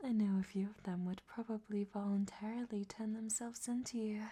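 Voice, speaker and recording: A woman's voice: mid-range voice, steady tone, brisk, mellow, natural voice. A woman playing a vain, flirtatious captive vampire in a whispered roleplay audio drama, with a coy, teasing delivery. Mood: flirtatious, sly. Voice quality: breathy, whispery.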